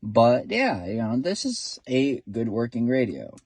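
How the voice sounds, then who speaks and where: A man's voice: mid-range voice, wildly swooping pitch, a little slow, a little mellow, slight background noise. A man talking casually from inside his car in his first vlog, rambling with lots of fillers and restarts. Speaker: vlogger, CB radio hobbyist.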